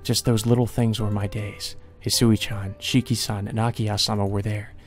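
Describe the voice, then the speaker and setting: A gentle, smiling young maid read by a man in his low voice, soft and wistful. She sounds resigned as she fades, with visual novel music behind. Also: level tone, normal speed, lightly acted. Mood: wistful, fading.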